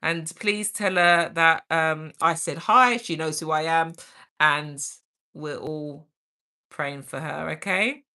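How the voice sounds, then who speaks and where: A woman's voice: sits low, takes it slowly, bright, forceful tone. A middle-aged woman with a British accent, hosting a livestream and chattily reading and answering viewer comments aloud. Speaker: livestream host. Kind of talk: reading and answering live chat.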